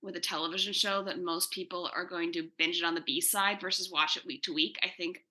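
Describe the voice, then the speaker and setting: A woman with an extremely bright, forceful voice set a little low gives a conversational panel answer. She speaks as a writer explaining her craft, animated and quick to rephrase herself. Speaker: comics and screen writer on a Q&A panel.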